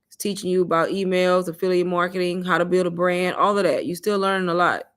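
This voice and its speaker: A woman hosting a casual livestream, pitching an online course and taking viewers' questions in a chatty, repetitive way while calling her viewers "y'all.". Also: fairly even intonation, quick pace, clean tone. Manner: chatty, repeats herself, addresses viewers as "y'all".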